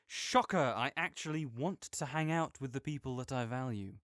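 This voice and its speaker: A young man playing a caring, easygoing friend who speaks gently and reassuringly to the listener in an audio roleplay, with some soft joking. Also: mid-range voice, normal speed, no echo, studio quality, close to an everyday voice.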